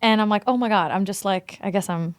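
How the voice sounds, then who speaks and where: A woman's voice: mid-range voice, brisk, dry recording. A woman from Sweden, a native Swedish speaker, chatting informally and animatedly as a podcast guest. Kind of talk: casual chat.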